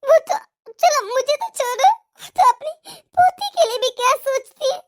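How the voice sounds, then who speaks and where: A young daughter-in-law in a cartoon family tale , acted by a woman, with a tiny, extremely high voice. She speaks respectfully but stands her ground with pointed questions. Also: unhurried. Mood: hurt, indignant. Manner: pleading, argumentative, respectful address. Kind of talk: animated family drama dialogue.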